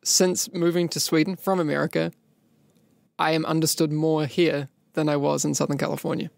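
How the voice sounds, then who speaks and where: A man's voice: on the high side, level tone, moderate pace, gravelly, dry recording. A man with a New Zealand accent hosting a casual podcast chat, joking and laughing often. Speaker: podcast host.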